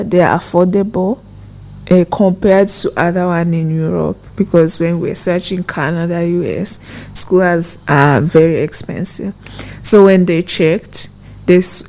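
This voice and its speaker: A young woman from Rwanda telling her story as a podcast guest, soft-spoken and thoughtful, her voice trailing off at phrase ends. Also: fairly low pitch, creaky. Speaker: guest, former foreign student. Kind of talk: conversational storytelling.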